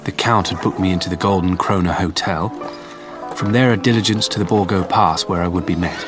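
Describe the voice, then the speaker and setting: Man playing low-voiced, earnest Victorian diarist. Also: lightly acted. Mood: tender, reflective. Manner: measured, formal. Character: earnest, devoted young Victorian traveller keeping a diary. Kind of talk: audio drama diary narration. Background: music.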